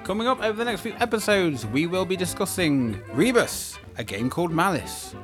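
A man's voice: sits high, sing-song, moderate pace, fairly bright tone, faint music behind. A man co-hosting a conversational theatre review podcast with a theatrical, presenter-like delivery.

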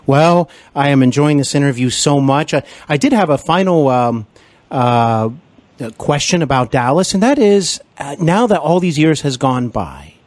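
A man hosting an interview podcast, enthusiastic and complimentary, often pausing with fillers. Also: medium pitch, no echo. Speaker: podcast host.

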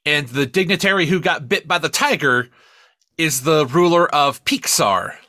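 Male podcast co-host, bright forceful voice, very lively delivery, emphatic and sweary, dry close sound. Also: fairly high pitch, normal speed, dry recording. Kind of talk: casual chat reviewing media.

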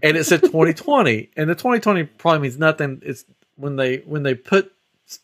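A man's voice: fairly high pitch, expressive, a little fast. A man hosting a podcast in casual banter, retelling things animatedly and acting out little quotes and sound effects. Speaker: podcast host.

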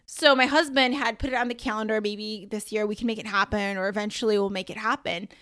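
A woman's voice: mid-range voice, steady tone, fast talker, sharp tone, smooth, dry recording. A woman hosting her podcast alone, chatting casually with lots of asides and slang. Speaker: podcast host. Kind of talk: casual solo podcast talk.

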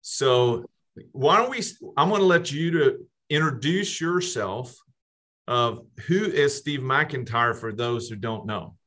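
A man hosting a casual podcast interview, speaking haltingly with frequent restarts and fillers. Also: medium pitch, expressive, a bit bright. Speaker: podcast host.

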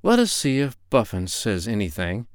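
A man's voice: mid-range voice, very animated, normal speed, a bit bright, smooth, dry recording, studio quality, voice pushed up. A man playing a kind, modest, absent-minded doctor in a storybook, mild and musing. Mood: interested.

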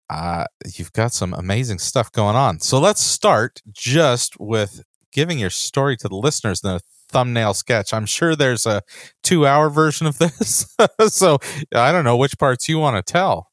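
A man's voice: mid-range voice, dry recording, clean studio sound. A man hosting a podcast interview, asking friendly, curious questions in a casual way. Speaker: podcast host.

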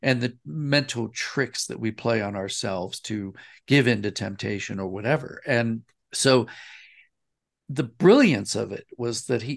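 A man's voice: mid-range voice, vocal fry. A man answering as an interview guest, explaining thoughtfully and rephrasing as he goes. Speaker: interview guest, audio drama writer and director.

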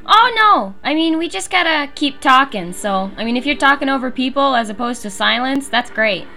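A woman's voice: high-pitched, expressive, moderate pace, gravelly, pushed and bright, soft background music. An American woman hosting a fan podcast, chatting in a giggly, enthusiastic way. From USA. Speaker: podcast host. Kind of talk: casual fan commentary chat.

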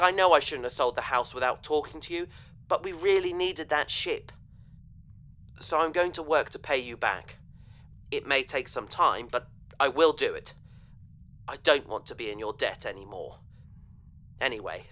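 Earnest young spaceman, bright voice. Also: mid-range voice. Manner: earnest, hesitant. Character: earnest young idealistic male space adventurer. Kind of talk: audio drama acting.

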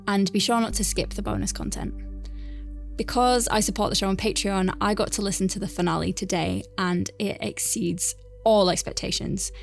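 A woman hosting a podcast, giving a scripted introduction that recommends a show to listeners. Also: mid-range voice. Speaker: podcast host.

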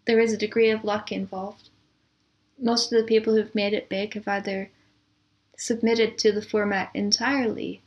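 A woman's voice: medium pitch, creaky. A woman speaking as a guest in a conversational interview, explaining her views in a reflective way. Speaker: podcast guest, composer and audio producer.

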